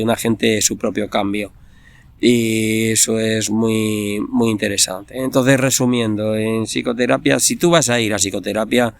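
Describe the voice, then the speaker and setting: A man's voice: on the low side, vocal fry. A middle-aged man with a Peninsular Spanish accent, explaining things informally and reflectively as a psychotherapist, often checking in with short tag questions. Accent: Spanish from Spain. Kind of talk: informal explanatory monologue for online video.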